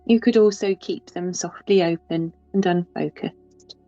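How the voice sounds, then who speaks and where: A woman guides a deep-rest yoga nidra in a slow, hushed, mellow voice. She lets long pauses settle between phrases. Faint music plays under a slightly dull, muffled recording. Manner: soft, unhurried, with long pauses.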